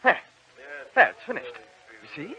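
An actor playing a spineless young Dauphin in an old radio drama, hesitant and self-deprecating. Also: male voice, a little high, very animated, normal speed, pushed and bright. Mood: flustered. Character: spineless, meek young Dauphin, a man with no kingdom. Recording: dull old radio recording.

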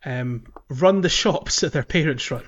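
A man's voice: on the high side, unhurried, fairly bright tone. A man co-hosting a podcast, chatting casually about a video game, recorded on a slightly muffled mic. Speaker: podcast co-host.

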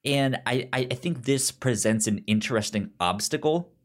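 A podcast co-host chatting casually about films and comics, halting and often stammering over words. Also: mid-range voice. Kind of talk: casual film and comic discussion.

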